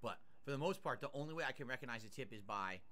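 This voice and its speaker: A man's voice: medium pitch, expressive, fast, sharp tone. A man streaming live, chatting casually as he eats and reads out viewer tips and thanks. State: mouth burned, nasal drip. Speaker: livestreamer.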